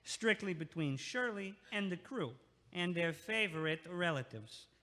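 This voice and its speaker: An actor in an audio play voicing a pushy, meddling New York night-shift neighbor, blunt and sarcastic. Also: male voice, sits high, lively intonation, a little slow, sharp tone, exaggerated voice. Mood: smug, needling. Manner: blunt, sarcastic, street-talking. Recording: echoey, long hallway-like reverb.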